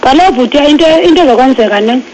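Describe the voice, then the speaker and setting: A woman's voice: high-pitched, expressive, very fast. A woman with an Eastern Cape South African accent, explaining things on the phone firmly and matter-of-factly, mixing in English. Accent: South African Xhosa-speaking, Eastern Cape. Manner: matter-of-fact, firm, mixes in English. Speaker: municipal employee taking a call. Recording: very noisy phone call over radio.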